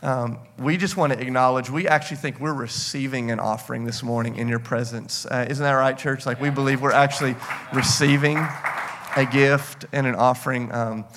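A man's voice: medium pitch, fairly even intonation, moderate pace, a bit bright, slight background noise. A man speaking casually and earnestly to a congregation as a pastor and host, effusive and often circling back to repeat himself. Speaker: church pastor hosting an interview. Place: church gathering on stage.

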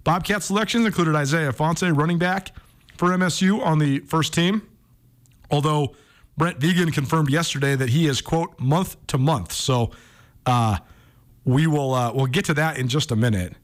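A man's voice: a little high, moderate pace. An American man hosting a sports talk radio show, commenting casually and thinking aloud as he goes.

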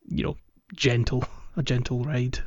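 A man's voice: medium pitch, little pitch movement, unhurried, darkish tone. Man chatting in a roomy, dull recording. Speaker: podcast host. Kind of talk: casual gaming chat. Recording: roomy echo, slightly dull sound.

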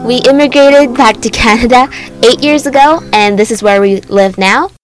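A young woman from South Korea introduces herself plainly and matter-of-factly. Her voice is mid-pitched. Clear music plays under the speech. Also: moderate pace, poor audio. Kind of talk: personal introduction.

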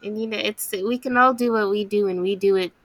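A woman with a raspy voice hosting a casual interview, laughing often and affirming her guest. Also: medium pitch, steady tone, fairly quick, fairly bright tone, dry recording. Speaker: interview host.

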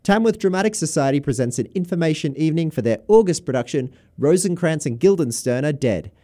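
A man's voice: a little high, expressive, a little fast, dark tone. A man reading an informal scripted community promotion as a radio announcer.

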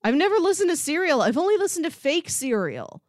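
A woman with a very animated, mid-pitched voice chats as a podcast co-host. She is talkative and playful, in a casual style. The sound is close and dry. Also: brisk, no echo. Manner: chatty, playful. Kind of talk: casual podcast chat about media.

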